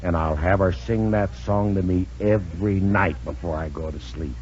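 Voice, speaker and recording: A man's voice: low voice, darkish tone, breathy edge. An American actor playing a bumbling, wheedling sea captain in a radio comedy, stammering and gushing at his sweetheart. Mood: sweet-talking. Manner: stammering, drawn-out hesitations. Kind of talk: 1940s radio comedy acting. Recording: muffled old radio broadcast recording.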